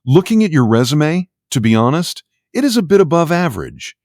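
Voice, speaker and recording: A man playing a pompous, prejudiced university interviewer in an audio play, formal, deliberate and condescending. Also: fairly high pitch, very animated, darkish tone, dry recording, studio quality. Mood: pompous, appraising. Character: pompous, prejudiced middle-aged male university job interviewer. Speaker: university official interviewing a job applicant.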